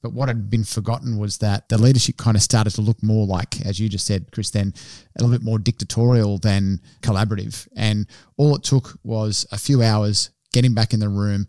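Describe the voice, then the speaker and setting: A male podcast host with a lowish voice talks through his points at length before asking a question. His delivery is reflective. The sound is close and dry. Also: steady tone, a little fast, few pauses, darkish tone, dry recording. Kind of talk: conversational podcast interview.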